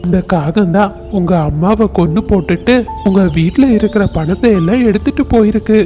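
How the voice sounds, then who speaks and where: A performer voicing a wise, kindly parrot uncle in a cartoon, giving gentle advice. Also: mid-range voice, a little fast, faint music behind, natural voice. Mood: advising. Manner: gentle, advising.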